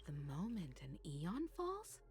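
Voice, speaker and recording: An actress playing a calm, decisive leader in a video game, speaking formally and steadily. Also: female voice, on the low side, slow pace, exaggerated voice. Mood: calm, resolute. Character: calm, decisive woman leader in space adventure. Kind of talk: scripted video game dialogue.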